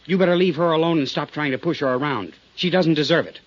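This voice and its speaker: A man's voice: a little high, fast, natural voice. An actor playing a defensive, hot-headed man in love, earnest and blunt in 1950s radio style. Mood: angry, defensive. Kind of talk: 1950s radio drama acting. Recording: muffled 1950s radio broadcast recording.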